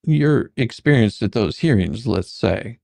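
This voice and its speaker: A performer playing a bumbling, sarcastic questioning attorney in a comedic deposition reading, halting and theatrical. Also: mid-range voice, lively intonation, creaky, darkish tone, no echo. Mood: probing, sarcastic. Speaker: dramatic reader.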